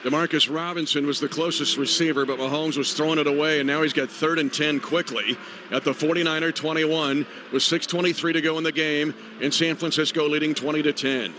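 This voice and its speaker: High-voiced man calling football over stadium noise. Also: steady tone, brisk. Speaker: play-by-play radio announcer. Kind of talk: live football play-by-play commentary. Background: loud stadium ambience.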